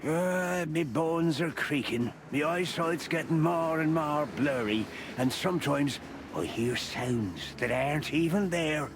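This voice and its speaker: A man's voice: fairly high pitch, lively intonation, measured pace. An actor playing a weary, kindly old animal in a noir video game, folksy and fatherly, with music underneath. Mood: weary, wistful. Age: older.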